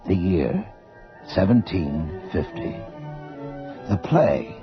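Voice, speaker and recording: A man announcing a radio drama in an ominous, theatrical and measured scripted style. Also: low-pitched, big pitch swings. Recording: muffled 1980 radio broadcast with music.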